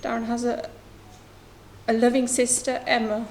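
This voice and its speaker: A woman's voice: fairly high pitch, flat delivery, normal speed, a little mellow, a little hiss or hum. A middle-aged woman, a bereaved mother, reading a prepared personal statement at a media briefing. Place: room at a press briefing.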